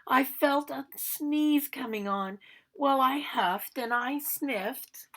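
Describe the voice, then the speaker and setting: A woman's voice: sits high, takes it slowly, a bit bright, voice pushed up, heavily acted. A woman voicing an aggrieved male big bad wolf, telling his own storybook tale in a chatty, theatrical way and protesting his innocence. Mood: self-justifying, dramatic. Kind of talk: animated storybook read-aloud.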